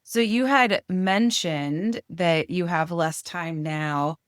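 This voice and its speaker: Woman host, deep smooth voice, asks long engaged questions, dry sound. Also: slow pace, dry recording. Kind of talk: interview conversation.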